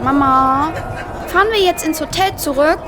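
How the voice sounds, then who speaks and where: A woman's voice: sits high, normal speed, music in the background, natural voice. A woman playing a bored, whiny little girl tourist in a radio play.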